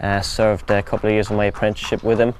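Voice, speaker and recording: A man's voice: lowish voice, flat delivery, fast talker, a little mellow, slight background noise. A man in his twenties, a native German speaker from Germany, giving casual, thoughtful interview answers in English as a jockey. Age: 20s. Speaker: professional flat jockey.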